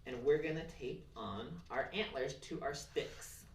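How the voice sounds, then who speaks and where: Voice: mid-range voice, a little slow. Roomy, distant-sounding children's craft presenter. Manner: encouraging, simple instructions for children. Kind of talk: step-by-step craft tutorial. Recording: roomy, distant mic, faint noise and clicks.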